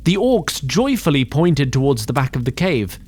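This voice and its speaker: A man giving scripted narration for a fantasy audio drama in a measured, theatrical storytelling manner. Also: mid-range voice, big pitch swings, darkish tone, slightly rough. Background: music.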